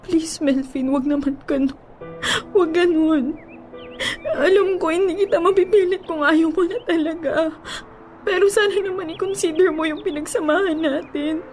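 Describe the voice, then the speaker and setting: A very high voiced filipina ex gf, heartbroken n clingy, pleading radio drama, music underneath. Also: female voice, normal speed, darkish tone. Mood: tearful pleading. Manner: emotional, pleading, switches between Tagalog and English. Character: heartbroken, clinging young ex-girlfriend, pleading and defiant. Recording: music bed under the dialogue.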